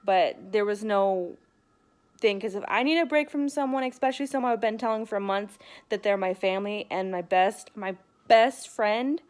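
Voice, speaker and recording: A woman's voice: medium pitch, normal speed. A woman talking candidly and casually in a rambling personal vlog, retelling events and conversations as she goes. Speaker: vlogger.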